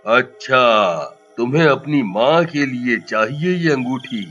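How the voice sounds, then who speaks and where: An actor playing a kindly ghost from a magic ring, deliberate and indulgent, in a children's story. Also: male voice, mid-range voice, fairly bright tone, highs cut off, soft background music. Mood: pondering, kind.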